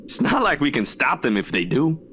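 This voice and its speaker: A man's voice: mid-range voice, wildly swooping pitch, brisk, a bit bright, a little hiss or hum. An actor playing an easygoing, cheeky young man in a visual novel, casual, teasing and reassuring.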